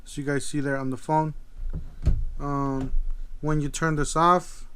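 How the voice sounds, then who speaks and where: A man giving a casual product demo as a video host, thinking aloud in a halting, tentative way. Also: fairly high pitch, flat delivery, sharp tone, a little hiss or hum. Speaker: video host, gadget reviewer.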